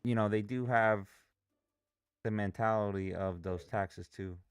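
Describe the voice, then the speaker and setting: A man's voice: fairly low pitch, fairly even intonation, unhurried, smooth, dry recording, soft background music. A man streaming live trades, talking casually, restless and impatient, swearing freely as he talks. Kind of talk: casual live trading commentary.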